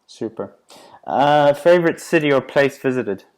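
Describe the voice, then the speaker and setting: A man with a South African accent who hosts a podcast and fires off quick, upbeat casual interview questions. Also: medium pitch, lively intonation, clean tone. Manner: brisk, upbeat, laughs often. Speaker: podcast host.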